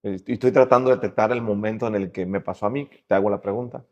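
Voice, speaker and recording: A man's voice: lowish voice, steady tone, quick pace, muffled recording. A man hosting a casual conversational interview, reflective as he rephrases and repeats his questions. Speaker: interview host.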